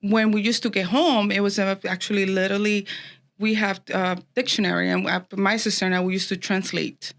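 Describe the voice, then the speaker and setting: A woman's voice: mid-range voice, steady tone, brisk. A middle-aged woman from Colombia with a Colombian Spanish accent, a native Spanish speaker chatting as an interview guest, laughing often and restarting her sentences. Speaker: guest, macaron baker. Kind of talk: casual interview chat.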